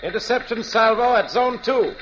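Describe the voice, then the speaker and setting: Very high-pitched male officer, commanding, terse, 1950s radio drama, muffled recording with clear noise. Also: lively intonation, normal speed. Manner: terse, authoritative. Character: crisp, commanding defense plotting-room officer.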